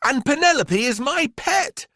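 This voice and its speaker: A man's voice: very high voice, lively intonation, unhurried, bright, forceful tone, dry recording, clean studio sound, pitched above the performer's own voice, exaggerated voice. A man voicing a proud, cheeky little schoolgirl in a storybook, with confident, playful delivery.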